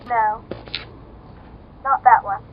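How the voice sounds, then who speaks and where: A woman's voice: a little high, fairly even intonation, very slow, narrow, tinny audio, slight background noise. A woman playing a terse, instructing prompter voice from a tiny transistor gadget in an old-time radio drama. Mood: terse coaching.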